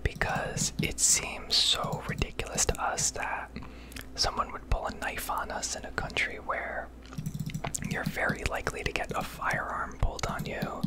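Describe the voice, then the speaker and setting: An American woman rambling candidly and casually in a breathy ASMR whisper, swearing now and then, recorded on a close microphone. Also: a little slow. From United States. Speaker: ASMR video creator.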